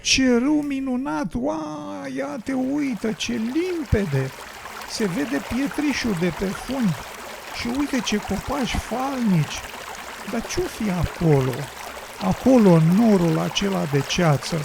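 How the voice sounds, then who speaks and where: An actor playing a weary, ailing road builder in an educational radio drama, gravelly and husky, with a plaintive storytelling manner. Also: male voice, extremely high pitch, expressive, background noise, heavily acted. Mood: amazed.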